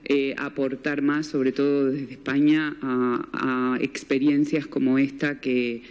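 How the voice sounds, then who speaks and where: Flat-voiced producer in a reverberant hall. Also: male voice, mid-range voice, slow, smooth. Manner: hesitant, full of restarts and fillers. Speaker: film producer. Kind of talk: press conference remarks. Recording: dull, with long reverb in a hall.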